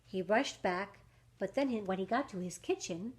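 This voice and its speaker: A woman reading a rhyming picture book aloud to young children, with dramatic emphasis, drawn-out words and questions to her listeners. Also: medium pitch. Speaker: children's story reader.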